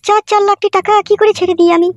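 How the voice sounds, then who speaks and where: A woman's voice: extremely high pitch, steady tone, racing, no echo. A woman voicing scheming, anxious village women in a Bengali comedy cartoon, with colloquial, insistent rural speech. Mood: coaxing. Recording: music behind the voice.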